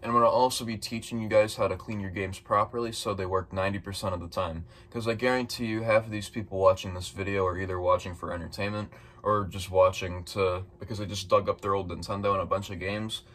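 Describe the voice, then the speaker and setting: A raspy young man who just woke up, giving a laid-back, blunt tutorial that he peppers with casual swearing. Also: low voice, steady tone, fast talker, a bit bright. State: just woken up. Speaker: retro gaming YouTuber.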